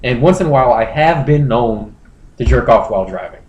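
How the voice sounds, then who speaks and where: A man's voice: mid-range voice, expressive, brisk, a little mellow, lo-fi sound. Male comedy podcast host, mid-range voice, wry and crude, casual readings, roomy echo with faint noise. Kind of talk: casual comic reading of internet posts.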